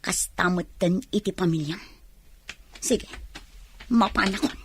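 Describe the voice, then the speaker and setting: A woman's voice: fairly low pitch, close to an everyday voice. A woman playing a discontented, evasive young wife in a radio drama, with a Filipino accent and an emphatic, dramatic delivery. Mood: brooding. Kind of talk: Ilocano radio drama acting. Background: music clearly playing behind.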